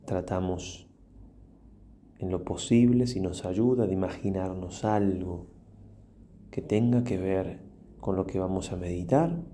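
Deep, mellow priest guiding prayer. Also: male voice, a little hiss or hum. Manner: slow, reverent, with long pauses. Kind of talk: guided religious meditation and prayer.

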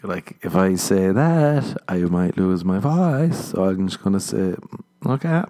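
A low-pitched man talks loosely on his podcast. He rambles from story to story, swears freely and breaks into laughter at his own lines. His delivery is casual and unscripted. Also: expressive, a little slow, dark tone. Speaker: comedian and podcast host. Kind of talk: casual comedic monologue. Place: living in Los Angeles.

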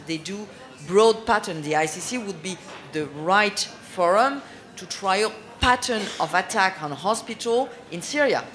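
A woman's voice: medium pitch, fairly bright tone. Earnest woman searching for words. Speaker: panelist for a medical aid group. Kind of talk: panel Q&A answer.

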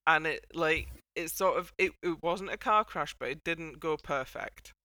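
A woman's voice: low-pitched, normal speed, bright, forceful tone, no room sound. A woman hosting a casual panel chat in a wry, playful way. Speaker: podcast host.

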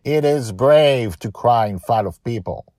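A man's voice: medium pitch, animated, a little slow, darkish tone, dry recording, clean studio sound, pitched above the performer's own voice, close to an everyday voice. A man voicing a defiant, loyal eight-year-old pirate girl in a storybook reading, bold, proud and emphatic.

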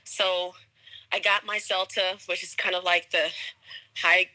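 A woman's voice: fairly low pitch, level tone, a little slow, pushed and bright, low-quality recording. A young American woman chatting casually as a guest in an interview. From United States, suburbs of Atlanta, Georgia. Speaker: podcast guest, English teacher.

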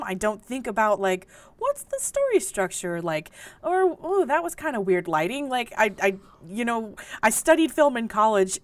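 A young woman on a podcast gushes about things she loves, stumbling over restarts and breaking into laughs. Her pitch leaps around animatedly, sitting a bit high, and the recording is dry and close. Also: no echo. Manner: gushing, halting, with many restarts and laughs. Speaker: podcast co-host. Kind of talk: casual podcast chat.

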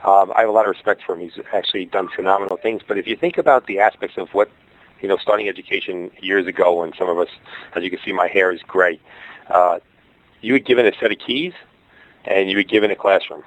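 A man's voice: sits low, quick pace, muffled recording. A middle-aged man with a Brooklyn accent, a school founder answering interview questions in a rambling way, with many fillers and restarts. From Brooklyn, New York. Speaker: guest, charter school founder.

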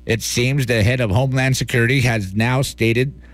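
A man's voice: medium pitch, fairly even intonation, moderate pace, lightly acted. A man playing an alarmist newscaster, urgent and overblown, in a parody breaking-news bulletin. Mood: mock serious. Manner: urgent, dramatic.

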